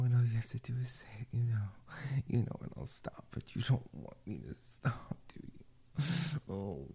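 Breathy, gravelly whispering man. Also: mid-range voice, mellow. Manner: breathy, murmured, heavy with sighs. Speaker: audio roleplay performer. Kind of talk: intimate whispered audio roleplay.

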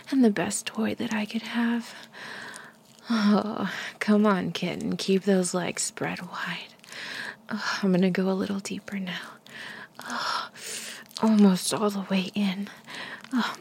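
A woman whispering an intimate audio roleplay, breathy, teasing and commanding. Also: mid-range voice, a little hiss or hum.

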